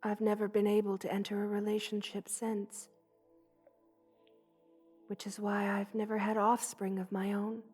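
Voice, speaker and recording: A woman voicing a gentle, loving, motherly spider queen in a soft, hushed ASMR roleplay, tender and wistful, often slipping toward a whisper. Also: mid-range voice, completely flat delivery, moderate pace, long pauses, husky, faint music behind, natural voice. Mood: sad, resigned.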